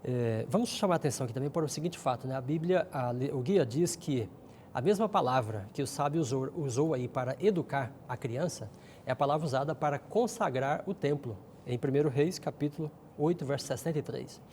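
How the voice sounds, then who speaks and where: A man's voice: mid-range voice, brisk, a little mellow, slight background noise. A man with a Brazilian accent giving explanatory commentary as a guest in a discussion. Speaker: guest panelist.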